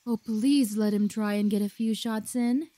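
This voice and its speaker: A woman's voice: mid-range voice, fairly even intonation, normal speed, mellow, no room sound, natural voice. A woman playing a mocking female warrior in an audio roleplay, sarcastic and jeering. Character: gleefully mocking female warrior squad member.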